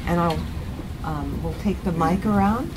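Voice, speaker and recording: A woman facilitating a community meeting on a handheld mic, speaking gently and haltingly as she searches for words. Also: fairly low pitch, noisy background. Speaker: group facilitator. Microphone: handheld microphone.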